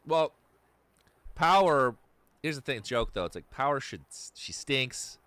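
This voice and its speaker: A man's voice: mid-range voice, lively intonation, a little slow, fairly bright tone, dry recording. A man in his thirties hosting a casual call-in stream, rambling and joking with plenty of swearing. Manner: rambling, profane, jokey. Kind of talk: casual chat.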